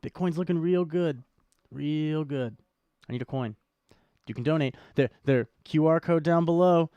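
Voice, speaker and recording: A man's voice: on the high side, moderate pace, dark tone, clear, resonant tone, no echo, dull audio. A man co-hosting a show with casual podcast banter and commentary, wry and joking in manner. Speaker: co-host of a cryptocurrency show.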